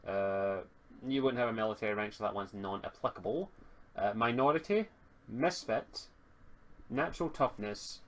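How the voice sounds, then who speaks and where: Man, game master, casual, halting delivery, reading rule lists aloud, explanatory. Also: lowish voice.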